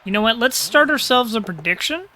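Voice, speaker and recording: A man's voice: very high voice, expressive, a little fast, pushed and bright. A man casting a live tabletop-style video game match, chatting casually and thinking aloud, with a slightly crackly throat. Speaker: caster.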